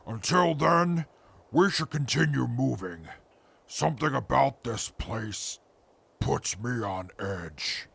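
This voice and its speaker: A man's voice: medium pitch, expressive, takes it slowly, a bit bright, no echo, full character voice. A man playing a stern, wary scout in a post-apocalyptic audio drama, with clipped, formal and cautious phrasing. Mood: wary, grave. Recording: clear background ambient noise.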